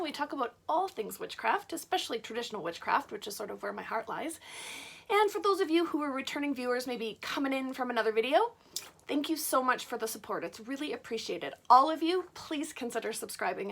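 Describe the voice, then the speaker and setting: Bright high-voiced woman. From Canada. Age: 40s. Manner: rambling, chatty, many fillers. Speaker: witchcraft channel host. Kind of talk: casual chat to camera.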